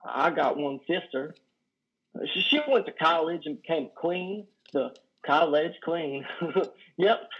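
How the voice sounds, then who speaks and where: Man playing a high-pitched, halting lonely trucker. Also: breathy edge. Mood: proud, giddy. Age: 30s. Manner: halting, stammering, nervous. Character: lonely, awkward, self-deprecating trucker, plain-spoken and folksy. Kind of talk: play reading over video call. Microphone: headset on a video call. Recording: dull video-call audio.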